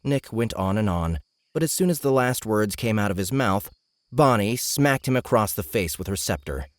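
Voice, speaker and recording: A man's voice: lowish voice, expressive, moderate pace. A man narrating a fantasy audiobook from a script.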